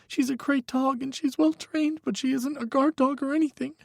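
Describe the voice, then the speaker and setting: A man's voice: very high voice, fairly even intonation, moderate pace, creaky, no echo, clean studio sound, pitched above the performer's own voice. A man voicing a timid, frightened young man who sounds tearful and shaky. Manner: trembling, tearful.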